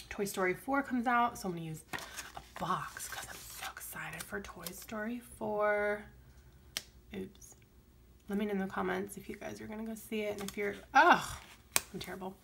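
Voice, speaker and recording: Chatty woman with a crackly voice. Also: vocal fry. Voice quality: strained, crackly voice that drops deep. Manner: rambling, chatty, soft asides. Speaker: planner vlogger. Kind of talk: casual plan-with-me chatter. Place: home desk, camera overhead on planner.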